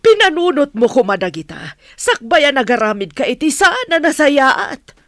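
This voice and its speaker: A woman's voice: high-pitched, big pitch swings, moderate pace, no room sound, studio quality. A woman with an Ilocano accent playing a stern, indignant mother in a radio drama. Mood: angry, reproachful. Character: stern, indignant middle-aged mother protecting her daughter.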